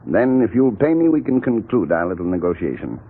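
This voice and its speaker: Fussy polite blackmailer, low husky voice that swoops theatrically, muffled 1940s radio. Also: male voice, sing-song, fairly quick. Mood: polite, cautious. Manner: mannered, fussily polite, theatrical. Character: genteel, self-loathing male blackmailer, wryly theatrical. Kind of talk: 1940s radio drama acting. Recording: muffled, narrow-band 1940s radio recording.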